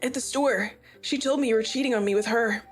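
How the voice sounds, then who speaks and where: A woman reading a frightened young man who speaks softly and shakily, close to a whisper. The voice sits fairly high, with faint music behind. It is a fan fiction read aloud on a stream. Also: a little fast, natural voice. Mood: pleading. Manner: soft, whispery, shaky.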